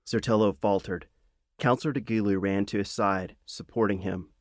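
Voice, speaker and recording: A man reading a children's fantasy audiobook as a dramatic, earnest storyteller with measured phrasing. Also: on the low side, steady tone, no echo. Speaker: author reading own audiobook.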